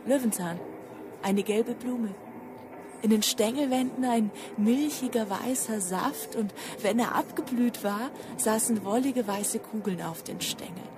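An actress playing a storyteller in a literary radio drama, relaying old memories in a deliberate, earnest way. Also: female voice, a little high, background noise, lightly acted. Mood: wistful. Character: female narrator relaying an old man's insistent memories. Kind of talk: literary radio drama narration in reported speech. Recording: music behind the voice.

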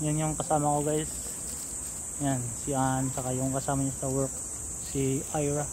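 A man's voice: mid-range voice, flat delivery, moderate pace, noisy background. A Filipino man in his thirties with a Filipino accent, giving a casual walking tour vlog as he points things out to viewers. He speaks into a phone held at arm's length on an outdoor seaside park path. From Philippines. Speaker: vlogger. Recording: outdoor ambience with insects.

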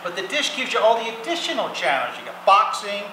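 Man acting a bright, pushy electronics salesman. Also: high-pitched, very animated, fairly quick, exaggerated voice. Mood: slick, eager. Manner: slick sales patter. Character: fast-talking pushy electronics salesman in his fifties. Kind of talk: staged script reading. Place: theatre stage, seated, distant camera mic. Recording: roomy stage, distant mic, faint noise.

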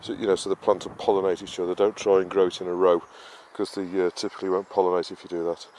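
A man with a low, flat voice talks casually through gardening jobs. His delivery is halting and unscripted, explaining as he goes. The camera microphone picks up clear outdoor ambient noise around him. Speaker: gardening vlogger. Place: outdoor vegetable garden and greenhouse. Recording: clearly noisy outdoor ambience, uneven distance.

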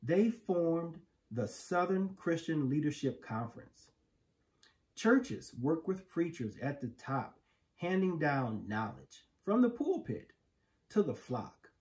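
A middle-aged American man reading a children's picture book aloud with measured, emphatic phrasing. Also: on the high side, sing-song, a little mellow. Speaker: storybook reader. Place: living room, indoors on a couch. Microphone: camera a few feet away.

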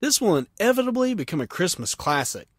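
A man's voice: high voice, very animated, brisk, slightly rough, no echo, clean studio sound. A man hosting a casual solo podcast in a jokey, self-deprecating manner. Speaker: podcast host.

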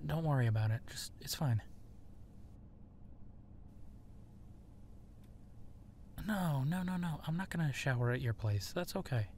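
A man playing a gentle, awkward, self-deprecating werewolf friend in an intimate ASMR audio drama, speaking softly and haltingly. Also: fairly high pitch, lively intonation, a little hiss or hum. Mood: flustered, reluctant. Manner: hesitant, soft-spoken, halting, often slipping into whispers.

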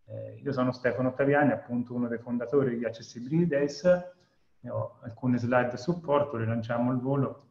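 A man's voice: mid-range voice, steady tone, brisk, gravelly. A man in his thirties from Italy presenting an online webinar as event co-founder over a video call. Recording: echoey, dull webinar audio.

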